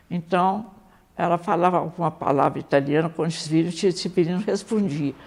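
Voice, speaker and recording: A woman's voice: lowish voice, a little mellow. A woman recalling her grandparents in a storytelling way. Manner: storytelling, imitates relatives. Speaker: interviewee. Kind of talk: casual recollection.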